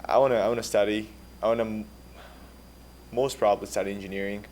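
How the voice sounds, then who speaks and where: A man's voice: fairly low pitch, fairly even intonation, fast, a little mellow, slight background noise. An eighteen-year-old man from the country of Georgia, a native Georgian speaker, giving polite, earnest answers as an exchange student. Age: teens. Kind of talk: answering questions at a public meeting.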